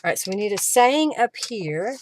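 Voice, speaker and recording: A woman's voice: mid-range voice, big pitch swings, normal speed. Casual woman crafting on a noisy livestream. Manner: informal, talks to herself and viewers while working. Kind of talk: casual step-by-step craft demonstration, thinking aloud. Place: live stream while working with craft materials.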